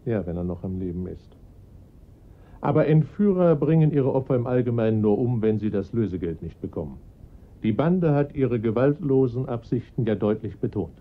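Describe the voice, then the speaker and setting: An actor playing a formal, calm police inspector who explains the case patiently. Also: male voice, medium pitch, phone-quality sound, lightly acted. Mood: measured. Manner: measured, formal, reassuring. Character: calm, dutiful middle-aged male police inspector. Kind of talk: radio drama acting.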